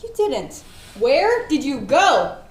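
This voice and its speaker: A woman's voice: high voice, sing-song, takes it slowly, heavily acted. A woman playing a domineering, suspicious crime boss in an amateur stage play, firing clipped, commanding questions. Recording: noisy, echoey stage recording.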